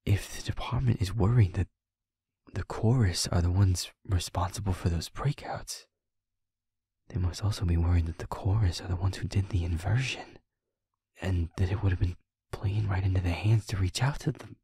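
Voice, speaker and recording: Man playing feisty werewolf boyfriend, very low pitch, husky and breathy, halting mutter, gruff, audio roleplay. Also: mellow, no room sound, studio quality, heavily acted. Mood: troubled, uneasy. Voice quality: gravelly, husky, breathy half-whisper. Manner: halting, muttering, blunt swearing. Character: feisty young male werewolf boyfriend, gruff, shaken, foul-mouthed. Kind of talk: intimate audio roleplay acting.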